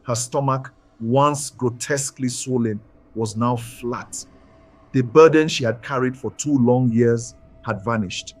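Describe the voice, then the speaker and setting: Male storyteller, husky, solemn and deliberate, for dramatic scripted folktale narration. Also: mid-range voice, soft background music.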